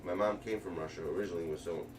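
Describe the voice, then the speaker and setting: New streamer, distant and noisy. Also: male voice, low voice, very fast. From New York. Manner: excited, slangy, rambling. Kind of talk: casual gaming livestream commentary. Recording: distant mic with clear background noise.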